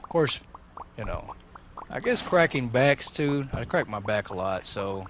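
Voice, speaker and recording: A man hosting a gaming channel, chatting casually over gameplay in a rambling, jokey way full of fillers, his voice going slightly hoarse. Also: medium pitch, animated, unhurried. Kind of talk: casual let's-play commentary. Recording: noisy background under the voice.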